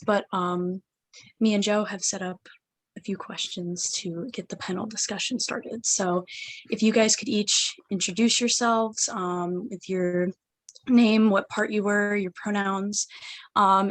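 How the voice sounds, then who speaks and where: A woman's voice: mid-range voice, no echo. Young woman running an online panel, um-heavy, dry sound. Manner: hesitant, lots of ums. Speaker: panel moderator, student theater club officer. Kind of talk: casual panel hosting. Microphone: video call.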